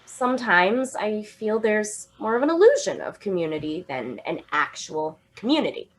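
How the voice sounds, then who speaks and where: A woman's voice: mid-range voice, big pitch swings, natural voice, poor audio. A young actress playing a tipsy, giggly woman waiting for a late-night train in a radio drama. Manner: giggly, drawn-out tipsy delivery.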